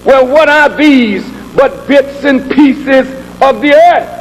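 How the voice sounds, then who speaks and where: A man preaching a sermon in an emphatic, deliberate oratorical manner, building with repetition and rhetorical questions. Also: very high-pitched, very noisy. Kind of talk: sermon, oratorical public address.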